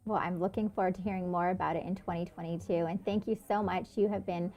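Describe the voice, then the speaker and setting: A woman's voice: lowish voice, steady tone, fast, highs cut off. Encouraging woman interview host. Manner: encouraging, affirming. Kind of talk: conversational interview.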